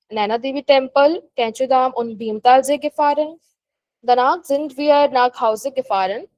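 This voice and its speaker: A female language student with an Indian accent recites a prepared talk in orderly sentences. Her voice is high, and the sound is dry with little room in it. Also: a little mellow, dry recording, low-quality recording. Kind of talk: prepared class presentation.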